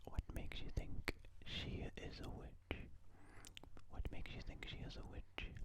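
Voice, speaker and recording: Casting: ASMR host for whispered quote readings, breathy and unvoiced, very unhurried delivery.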